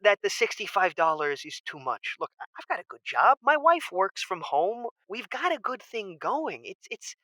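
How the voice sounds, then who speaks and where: A man's voice: high voice, big pitch swings, normal speed, hardly any pauses, pushed and bright, no room sound, full character voice. A man playing an anxious, suspicious husband calling a radio advice show, stammering and agitated in a comedy audio drama. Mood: uneasy, hurt. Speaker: caller.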